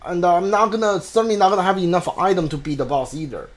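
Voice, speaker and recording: A man giving casual live playthrough commentary as a gaming streamer, haltingly repeating words as he thinks. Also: high voice, animated. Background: faint game music.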